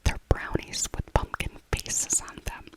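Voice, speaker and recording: A woman speaking in a breathy whisper, narrating casually in ASMR style and softly repeating her phrases. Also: unhurried. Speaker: ASMR video creator narrating a shopping trip.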